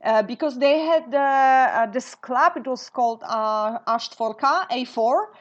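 A Polish woman in her thirties, a guest speaking in an interview, often pausing on fillers and restarting her sentences. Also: high voice. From Poland. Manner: hesitant, many fillers and restarts. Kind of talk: interview conversation. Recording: dull lo-fi sound.

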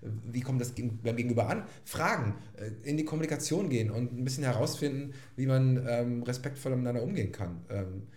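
A man's voice: mid-range voice, expressive, brisk, few pauses, darkish tone. A man from Belgium co-hosting a casual podcast chat, musing in a thoughtful way. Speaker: podcast co-host. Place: echoey room. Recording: roomy echo.

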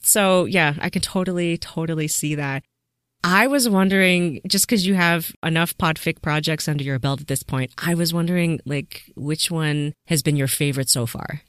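A woman's voice: sits low, moderate pace, a bit bright, smooth, no echo, clean studio sound. A woman hosting a casual podcast interview, enthusiastic and affirming toward her guest. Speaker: podcast host.

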